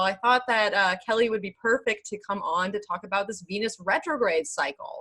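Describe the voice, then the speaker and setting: A woman's voice: medium pitch, a bit bright. A woman hosting a podcast interview in a casual, chatty way, with effusive enthusiasm. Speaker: podcast host interviewing a guest.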